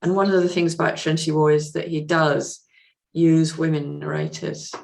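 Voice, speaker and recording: Female podcast guest, lower register with marked creak, measured and considered casual book talk. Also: level tone, a little mellow. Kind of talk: casual literary discussion.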